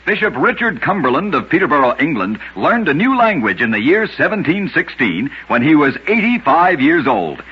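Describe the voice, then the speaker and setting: Casting: man, husky scripted storyteller, expressive pitch, slightly muffled vintage recording. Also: normal speed, hardly any pauses. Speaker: narrator. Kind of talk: scripted narration of a curious fact.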